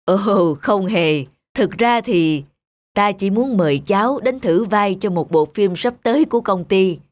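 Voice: medium pitch, measured pace, no room sound, natural voice. An actor playing a kindly film director in a cartoon, speaking in a reassuring, encouraging manner. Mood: kindly, calm. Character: kindly grown male film company director. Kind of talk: animated moral tale dialogue. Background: background music.

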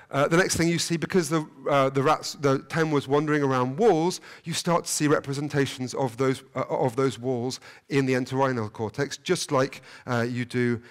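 A middle-aged man giving a conference keynote lecture in a hall, talking in halting bursts with many restarts and fillers. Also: mid-range voice. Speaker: keynote speaker. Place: lecture hall, standing at lectern.

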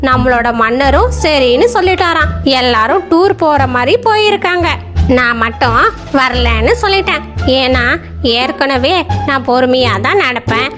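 A patient old granny tortoise put on by a woman for a children's cartoon. She explains things chattily in a high voice, with music clearly audible beneath. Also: animated, normal speed. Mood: chatty, concerned. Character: slow patient old grandmother tortoise, kindly adviser.

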